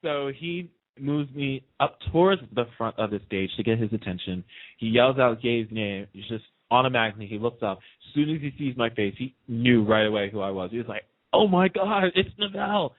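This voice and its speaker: A man who works as a radio host, chatting casually and telling fan anecdotes in an excited, dramatic way. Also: medium pitch, moderate pace, no room sound, phone-quality sound.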